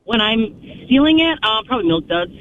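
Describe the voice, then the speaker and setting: A woman's voice: on the high side, big pitch swings, moderate pace, slight background noise. A woman calling in to a radio show, chatting casually over a muffled phone line. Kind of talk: casual chat.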